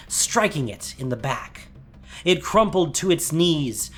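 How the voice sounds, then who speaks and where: A man giving a scripted, dramatic fantasy narration as storyteller, measured and suspenseful. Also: a little high, fairly bright tone. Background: music.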